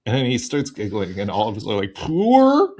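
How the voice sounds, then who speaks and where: A man chatting casually as a podcast guest, telling stories in an animated way with jokey asides. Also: mid-range voice, moderate pace. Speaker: podcast guest, improv voice performer. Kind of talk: casual chat.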